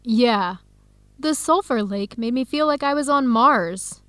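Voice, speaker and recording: A woman playing a cheerful, adventurous young American in a scripted radio drama, speaking clearly and brightly with an American accent. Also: a little high, expressive, unhurried, no room sound. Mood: enthusiastic. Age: young adult.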